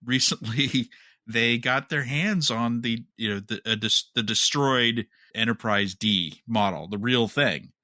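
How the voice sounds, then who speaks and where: A man co-hosting a fan podcast, talking casually and a bit haltingly as he thinks aloud. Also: medium pitch, bright, forceful tone, no echo, clean studio sound. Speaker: podcast co-host.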